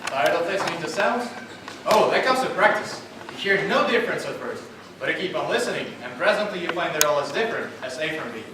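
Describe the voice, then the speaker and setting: An actor playing an arrogant, brusque phonetics professor who talks in a brisk, dismissive way. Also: male voice, a little high, expressive, very echoey, far from the mic, background noise, natural voice.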